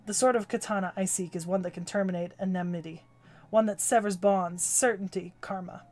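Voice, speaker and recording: A gruff, proud old swordsmith, put on by a woman reading a game aloud, with a growling voice in her ordinary pitch range. He speaks curtly and commands attention. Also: fairly even intonation, fairly quick, a little mellow, natural voice. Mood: stern. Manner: curt, commanding delivery. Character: gruff older male swordsmith, blunt and proud. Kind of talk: reading a game's story aloud on stream.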